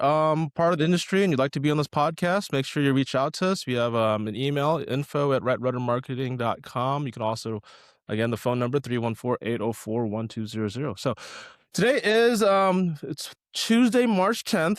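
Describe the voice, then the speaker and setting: Male podcast host, average pitch, informal and conversational, close dry recording. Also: no echo. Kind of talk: casual podcast talk.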